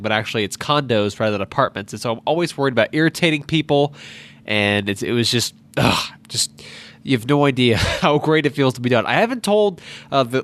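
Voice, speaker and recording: A man's voice: mid-range voice, a bit bright. A nineteen-year-old man with a stuffed-up nose, rambling through a casual solo podcast with many restarts and asides. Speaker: podcast host. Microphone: podcast microphone.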